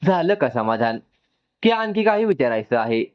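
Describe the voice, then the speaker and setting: A defiant, wounded young woman in a storybook family drama performed by a man, answering firmly and with exasperation. The pitch swings widely, on a slightly dull lo-fi recording. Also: big pitch swings, moderate pace, smooth. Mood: hurt, tearful. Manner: curt, firm retorts.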